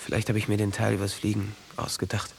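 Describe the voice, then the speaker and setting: Verträumter junger Soldat mit knarziger, tiefer Stimme. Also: male voice, lowish voice, monotone, fast, creaky, slight background noise. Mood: hushed recounting. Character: dreamy young traumatized soldier who believes he can fly. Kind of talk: naturalistic German radio drama acting.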